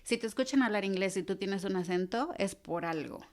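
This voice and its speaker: A woman's voice: medium pitch, brisk, a little mellow. A Mexican woman in her thirties, a podcast guest talking in an earnest, easygoing way that often trails off and restarts. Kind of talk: casual interview chat.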